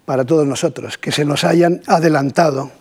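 A middle-aged man from Catalonia with a Catalan Spanish accent, giving a measured, reflective poetry lecture with pauses and rhetorical asides. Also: a little high, darkish tone, breathy edge.

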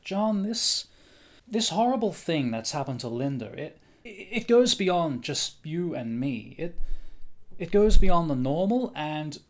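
A man playing a flustered but rational doctor in a radio horror drama, stammering and halting as he urges. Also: a little high, sing-song. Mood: agitated, urgent. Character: flustered male doctor, family friend, rational but rattled. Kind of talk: old-time radio horror acting.

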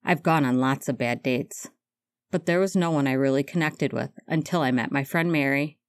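A woman voicing Remus, a gentle, earnest man who works for social services, speaking softly. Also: extremely low pitch, normal speed, dry recording, voice pushed down.

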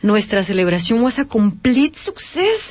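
A woman's voice: fairly high pitch, sing-song, moderate pace, no echo. A woman chatting playfully with friends in a radio drama. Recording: dull lo-fi radio with music.

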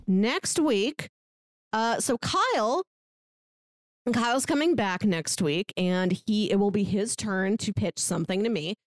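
Casting: female podcast host, chatty and informal, mid-pitched voice, dry recording. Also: expressive, unhurried, no room sound. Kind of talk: casual podcast chat.

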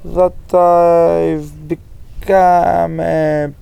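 A man with a Greek accent, chatting casually and thinking aloud, with many pauses and fillers. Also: fairly high pitch, level tone, gravelly, warm tone, clean tone, dry recording. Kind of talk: casual chat.

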